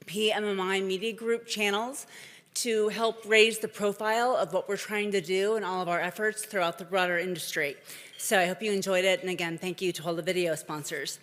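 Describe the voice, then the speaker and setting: A woman's voice: mid-range voice, a bit bright. Woman hosting in a big reverberant hall. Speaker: event host introducing speakers. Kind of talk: semi-scripted welcome remarks to a live audience. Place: large indoor event hall, on stage. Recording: echoey hall with long reverb.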